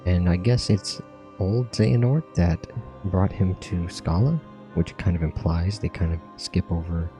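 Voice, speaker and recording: A man's voice: deep voice, unhurried, mellow, soft background music. A man hosting a gaming channel, casually narrating and commenting as he plays through a game.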